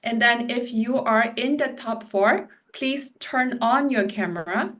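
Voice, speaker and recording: A woman hosts a live quiz over a video call, speaking slowly in a somewhat high voice. Her talk is relaxed and chatty, full of small fillers. The call audio sounds dull and lo-fi. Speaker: quiz host. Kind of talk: hosting a live online quiz.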